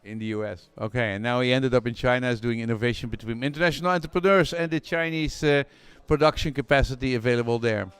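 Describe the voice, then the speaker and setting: A man's voice: mid-range voice, fast, few pauses, fairly bright tone, clear, resonant tone, no echo. A man interviewing a guest, asking casual questions and summing up the answers in his own words. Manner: summarizing, leading questions. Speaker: interviewer and channel host.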